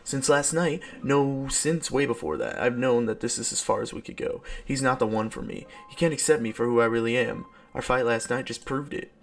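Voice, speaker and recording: A man's voice: mid-range voice, expressive, a little fast, vocal fry, few pauses. A man voicing a blunt, dismissive, sly teenage schoolboy in a visual novel, curt and casual. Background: background game music.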